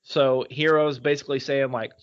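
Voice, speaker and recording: A man's voice: mid-range voice, level tone, moderate pace, clear, resonant tone, lo-fi sound. A man co-hosting a podcast, recapping casually in a loose, rambling way full of fillers. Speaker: podcast co-host. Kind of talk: casual podcast chat recapping a wrestling show.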